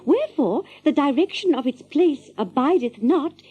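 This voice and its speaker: High-pitched, guileless medieval damsel. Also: female voice, lively intonation, moderate pace, warm tone, lo-fi sound. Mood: earnest, rambling. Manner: archaic, flowery, rambling, innocent. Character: earnest, guileless, long-winded young medieval damsel. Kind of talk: audio drama acting.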